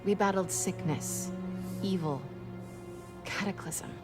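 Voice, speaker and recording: A woman's voice: medium pitch, slow pace, over loud music, close to an everyday voice. A woman playing an earnest young journalist narrating her podcast in a video game. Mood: earnest, hopeful.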